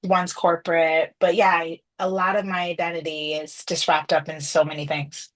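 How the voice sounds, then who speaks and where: A woman from Trinidad, a podcast guest, telling her story thoughtfully over a remote call and often pausing with "you know". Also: on the low side, flat delivery. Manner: thoughtful, layered answers with frequent "you know". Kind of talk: reflective conversational storytelling.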